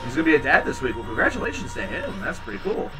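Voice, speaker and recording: A man in his thirties hosting a home livestream, chatting casually with viewers in a rambling, low-key, tired way. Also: wildly swooping pitch, rapid-fire, far from the mic, music in the background, poor audio. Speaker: livestream host reading chat. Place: small home room indoors. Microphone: headset.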